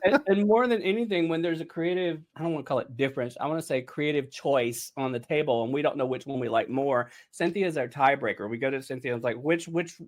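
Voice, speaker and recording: A man's voice: medium pitch, quick pace, few pauses. A man hosting a casual behind-the-scenes podcast conversation, talking in a chatty, jokey way. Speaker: podcast host and showrunner.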